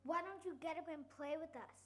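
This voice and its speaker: A woman's voice: sits high, fairly quick, natural voice. A woman playing a blunt, taunting female wild rabbit in a storybook readers' theater. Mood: teasing. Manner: taunting, challenging.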